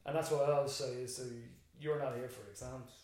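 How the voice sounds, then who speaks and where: A man's voice: mid-range voice, steady tone, fast talker, darkish tone. An Irish man, a secondary school teacher and interview guest, thinking aloud in a reflective, rambling way with frequent restarts. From Ireland. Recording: very echoey room.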